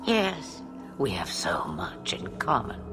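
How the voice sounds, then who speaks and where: A woman playing an ancient, sly witch in a fantasy game, with a low voice that turns breathy, speaking in a teasing, theatrical drawl. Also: huge pitch swings, exaggerated voice. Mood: dry, sly. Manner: theatrical, drawn-out, teasing, sardonic. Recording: game dialogue under clear music.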